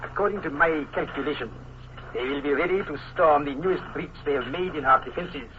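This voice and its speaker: A coldly logical Prussian officer, played by a man, speaks in precise, formal sentences, sure the siege is lost. His voice sits a little high. The old radio recording is muffled and full of hiss. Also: close to an everyday voice. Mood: grim. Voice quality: precise, formal, deliberate phrasing. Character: stern Prussian colonel, coldly logical, fatalistic. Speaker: Prussian colonel. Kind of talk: 1950s radio drama acting.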